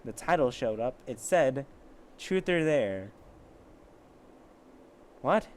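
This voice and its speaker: A man's voice: medium pitch, lively intonation, takes it slowly, a little mellow, slight background noise. A man casually reading amateur fiction aloud, with sarcastic, foul-mouthed asides. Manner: sarcastic, profane commentary. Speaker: amateur story reader.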